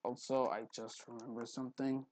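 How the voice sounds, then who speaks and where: A man hosting a casual, unscripted screen tutorial, haltingly repeating words and muttering to himself, recorded on a headphone mic at his neck. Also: mid-range voice, level tone, darkish tone. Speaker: tutorial host. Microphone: headphone mic held at the neck.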